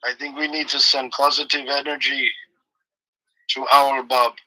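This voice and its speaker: Guy w a real bright sharp voice, choppy broken english, deadpan jokes, slow careful meditation guide. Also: medium pitch, poor audio. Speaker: guest co-host. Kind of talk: rambling comic chat turning to guided meditation.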